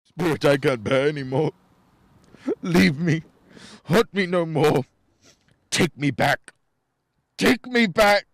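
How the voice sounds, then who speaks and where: An anguished old miser, played by a man in a radio play, pleading with a ghost. The voice is slow and a little high for a man, recorded dry with some music. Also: dry recording. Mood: desperate pleading. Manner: pleading, drawn-out anguished delivery.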